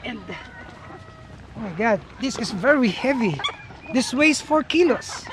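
Middle-aged guy showing off his chicken farm outside, upbeat, pretty high voice, hens clucking in back. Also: animated, measured pace, background noise. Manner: upbeat, explaining to viewers. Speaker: farm vlogger. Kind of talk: casual tour narration. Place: outdoor farmyard and bamboo coop.

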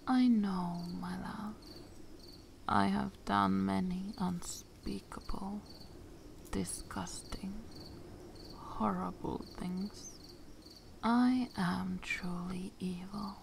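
Woman playing a vampire mistress, ASMR roleplay, breathy half-whisper, extremely slow, creaky, seductive, cruel yet loving. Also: medium pitch, slight background noise. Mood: mocking, confessing. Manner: slow, theatrical, with long pauses.

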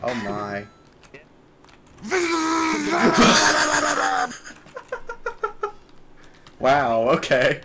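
A man's voice: extremely high pitch, wildly swooping pitch, very slow, pauses often, pushed and bright, slight background noise. Laid-back young man commentating a game. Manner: amused, laughs often, exclamatory. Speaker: gameplay video host. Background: background music.

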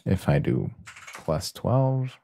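A man presenting a casual software tutorial in a hesitant, halting way with many pauses. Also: deep voice, big pitch swings, vocal fry, music in the background.

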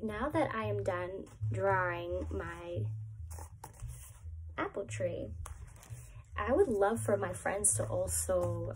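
A young woman, a preschool teacher, reading a picture book aloud to small children at home in a gentle, animated storytime voice. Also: medium pitch, background noise. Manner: gentle, animated storytime voice, lifts up on repeated lines. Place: small room at home, indoors.

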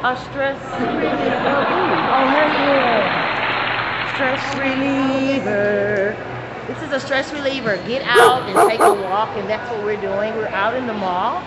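A woman's voice: sits high, slow pace, lo-fi sound. A middle-aged woman chatting casually to a phone camera while walking through a large indoor shopping mall, repeating herself and laughing easily. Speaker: vlogger. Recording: loud busy mall crowd noise.

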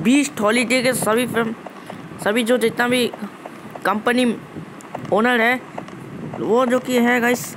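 A man hosting a gaming channel with casual gameplay commentary, repeating and restarting phrases as he addresses viewers. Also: very high-pitched. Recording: noisy, vehicle engine sound behind voice.

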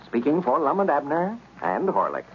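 A man's voice: a little high, huge pitch swings, moderate pace. An American man delivering a sponsor message as a 1930s radio announcer. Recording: muffled, noisy old radio recording.

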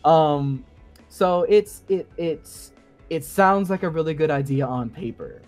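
A man's voice: a little high, lively intonation, slow pace, darkish tone, faint music behind. A man talking casually and in technical detail as a guest co-host on a gaming podcast, in an informal, hedging way. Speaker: podcast guest co-host explaining game sound design.

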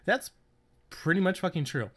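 A man giving casual, profane and excitable game commentary, talking straight to the character he is playing. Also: sits high, normal speed. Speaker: gaming video host. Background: game audio of boat and water.

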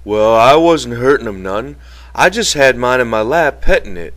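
A man's voice: mid-range voice, expressive, moderate pace, fairly bright tone, close to an everyday voice. A man voicing a childlike, simple-minded, earnest big man in an audiobook, with artless phrasing.

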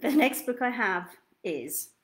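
Young woman reading a kids book out loud, really slow and super expressive, stretches out words. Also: on the high side. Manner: theatrical, deliberate, drawn-out delivery of key words. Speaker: children's storytime reader. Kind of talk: expressive picture-book read-aloud. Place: small indoor room at home.

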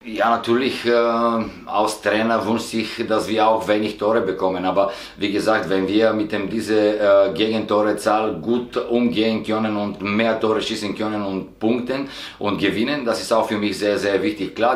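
A man, a football coach giving a halting press interview full of filler sounds. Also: fairly low pitch, steady tone. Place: indoor stadium media room, sponsor wall. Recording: echoey room.

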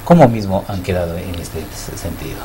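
Deep-voiced middle-aged male TV interviewer. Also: expressive, noisy background. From Ecuador. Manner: measured, formal questions with fillers. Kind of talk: studio interview. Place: small TV studio. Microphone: desk mic on stand.

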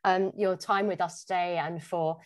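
Woman, podcast host, lowish pitch, dry sound, conversational interview style. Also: fairly even intonation, normal speed, dry recording.

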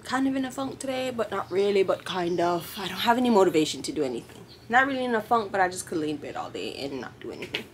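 A woman's voice: mid-range voice, big pitch swings, fast, hardly any pauses, slight background noise. Young adult woman, chatty vlogger, talking straight to camera at arm's length. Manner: chatty, upbeat, talks straight to viewers. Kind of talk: casual vlog chat to camera. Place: car, bedroom and patio in a hot place. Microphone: camera held at arm's length.

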